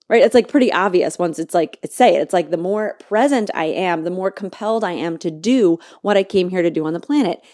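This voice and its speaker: A podcast host thinks aloud in a low, mellow woman's voice. She gropes for words with fillers and restarts, then slows right down to lean on single phrases. The sound is close and dry, with no room around it. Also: no echo. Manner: halting, searching, many restarts and fillers; slow, drawn-out emphasis on key words. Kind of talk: reflective spoken monologue.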